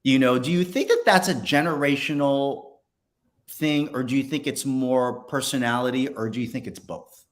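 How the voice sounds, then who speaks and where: A man's voice: mid-range voice, normal speed. A man interviewing in a casual, personal way, effusive and encouraging, often restarting his phrases as he goes.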